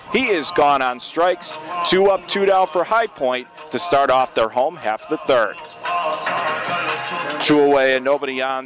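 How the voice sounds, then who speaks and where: A man calling a baseball game live as a radio play-by-play commentator. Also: on the high side. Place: open-air baseball stadium. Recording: clear outdoor ballpark ambient noise.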